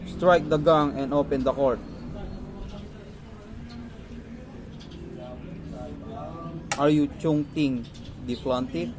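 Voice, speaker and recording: A man playing a self-important scholarly magistrate in an amateur radio drama, speaking formally and haltingly. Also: a little high, close to an everyday voice. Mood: commanding. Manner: halting, stumbling delivery with formal wording. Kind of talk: amateur stage-style radio drama reading. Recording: noisy amateur recording with steady background noise.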